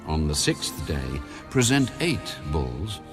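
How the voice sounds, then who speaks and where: A man narrating scripture as an audio Bible reader, in a measured, solemn, formal delivery. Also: extremely low pitch, big pitch swings. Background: background music.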